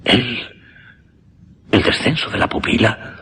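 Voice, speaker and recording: An actor playing an earnest, intense mesmerist, speaking in dramatic, halting, repeated phrases in a horror radio drama. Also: male voice, a bit bright, a little hiss or hum. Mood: tense, intent. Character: earnest mesmerist man, intense, increasingly horrified.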